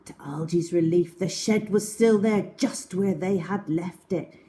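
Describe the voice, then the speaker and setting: A woman narrating a children's story in an expressive, scripted read-aloud style. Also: lowish voice, a little slow.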